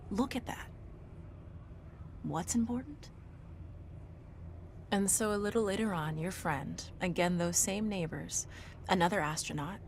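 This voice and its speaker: Female narrator for a reflective monologue. Mid-range pitch, earnest and deliberate delivery, short dramatic phrasing. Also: slight background noise.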